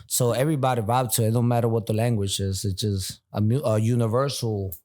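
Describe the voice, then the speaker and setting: Brief: young male rapper, easygoing slang, lowish voice. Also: fairly even intonation, fast, a little mellow. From Puerto Rico, raised in Philadelphia. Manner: laid-back street slang. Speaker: guest rapper. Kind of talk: casual chat. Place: bar.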